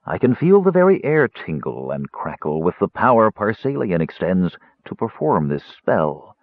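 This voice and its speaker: A man voicing a stern, solemn mage in an audiobook, giving soft, measured and commanding instructions. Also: low-pitched, very animated, slightly rough, dry recording, narrow, tinny audio, full character voice. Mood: hushed awe. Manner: soft, measured, hushed orders.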